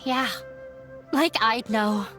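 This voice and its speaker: A high-voiced, downcast young student, speaking slowly with weary resignation. She is subdued and self-blaming, in a video game style over faint music. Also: a bit bright. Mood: weary, bitter. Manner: hesitant, subdued, melancholy.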